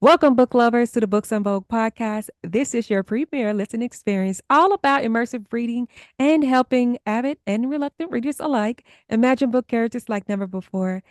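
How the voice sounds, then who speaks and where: A woman's voice: a little high, brisk, few pauses, no room sound, soft background music. A woman hosting a podcast, speaking to listeners in an upbeat, enthusiastic way, partly scripted. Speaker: podcast host.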